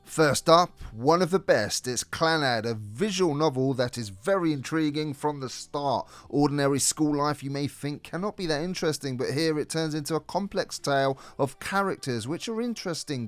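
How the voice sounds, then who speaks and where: A man's voice: on the high side, expressive, moderate pace, hardly any pauses, dry recording. A man reading a scripted video game review as a co-host. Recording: music behind the voice. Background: background music.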